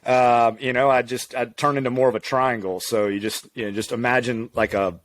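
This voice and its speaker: A man from Louisiana, likely in his thirties, talking easily as a podcast guest. He's relaxed and candid, laughing often, at a middle pitch. The sound is dry and close. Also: a little fast, no echo. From Louisiana, USA. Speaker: physical therapist guest. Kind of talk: casual conversational interview.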